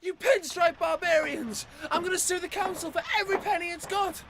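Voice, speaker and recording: A man's voice: extremely high pitch, fairly even intonation, a little fast, vocal fry, noisy background. A man playing an indignant, flustered, spluttering ordinary Englishman in a radio play. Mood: furious. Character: outraged, bewildered ordinary Englishman, easily flustered. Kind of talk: radio drama acting.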